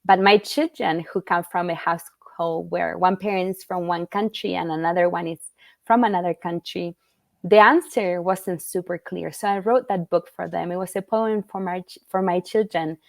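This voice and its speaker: A woman's voice: lowish voice, steady tone, moderate pace, gravelly, no room sound. A middle-aged woman from Argentina, a children's book author answering interview questions in an earnest, thoughtful way with frequent fillers and restarts. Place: at home in Utah.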